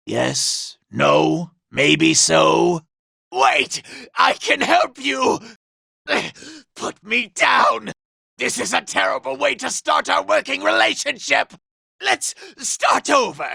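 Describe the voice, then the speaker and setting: A man voicing a vain, scheming, treacherous robot villain in a video game, raspy and gravelly, with a sneering, pompous, theatrical delivery. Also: a little high, sharp tone, no echo, studio quality, heavily acted. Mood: impatient, condescending, then panicked pleading. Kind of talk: video game character acting.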